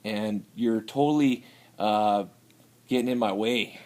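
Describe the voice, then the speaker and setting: A man's voice: lowish voice, a little mellow. A man playing a boozy, brawling Canadian hoser with a Canadian accent, rambling and stumbling, ending lines with "eh". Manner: rambling, halting, tacks on "eh". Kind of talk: improvised comedy sketch.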